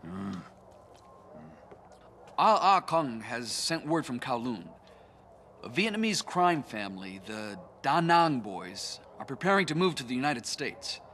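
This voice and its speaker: An actor playing a calm, polite gang leader with a formal, measured way of speaking. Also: male voice, mid-range voice, a little hiss or hum.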